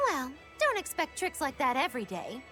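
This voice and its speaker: A woman's voice: sits high, sing-song, moderate pace. A woman voicing a mischievous, bossy young magician troupe leader in a video game, with pompous, florid showmanship. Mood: teasing. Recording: loud music behind the voice.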